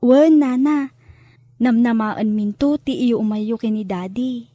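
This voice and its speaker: An actress playing an earnest, respectful young adult granddaughter in an Ilocano drama, with an Ilocano accent. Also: female voice, fairly high pitch, a little slow, mellow, dry recording, studio quality. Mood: polite, hesitant. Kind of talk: Ilocano radio-style drama acting.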